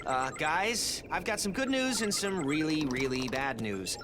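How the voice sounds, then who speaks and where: Nerdy teen turtle, high pitched, animated, earnest, anxious, urgent, game cutscene music. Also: male voice, a little slow, very noisy. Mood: worried. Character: brainy, anxious teenage mutant turtle techie.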